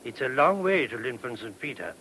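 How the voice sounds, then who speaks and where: Creaky, polite senior monk. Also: male voice, medium pitch, big pitch swings, fairly quick, pushed and bright, heavily acted, rough recording. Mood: apologetic. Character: senior monk, anxious to smooth things over, polite. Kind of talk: radio play acting. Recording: faint hiss, old radio recording.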